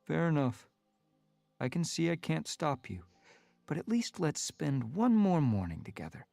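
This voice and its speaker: A man putting on a lazy, squeaky house tomcat. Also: wildly swooping pitch, a little slow, gravelly, darkish tone, dry recording, soft background music, exaggerated voice. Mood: worried. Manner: high eager squeaky mewing.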